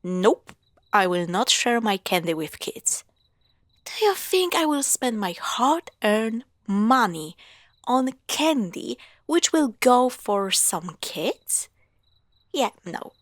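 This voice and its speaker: Woman acting a lively, sarcastic young girlfriend. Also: a little high, slow pace, no echo. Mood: playful, affectionate. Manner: teasing, sarcastic, giggly, expressive. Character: playful, sarcastic young girlfriend teasing and bantering. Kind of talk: spooky roleplay audio drama, acted one-sided dialogue.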